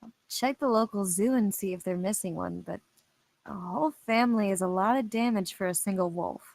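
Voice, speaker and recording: A woman's voice: medium pitch, a little fast, creaky, a little mellow, dry recording, lightly acted. A woman acting a composed, skeptical police detective in a film script read, dry and matter-of-fact. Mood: composed, probing.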